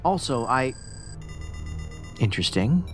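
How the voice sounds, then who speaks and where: A man's voice: mid-range voice, big pitch swings, slow, a bit bright. Cerebral male investigator over prominent music. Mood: analytical, intent. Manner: precise, formal, detached, musing. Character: cerebral, pedantic, socially awkward male federal investigator. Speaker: actor in audio drama. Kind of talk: science fiction audio drama acting. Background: music under the voice.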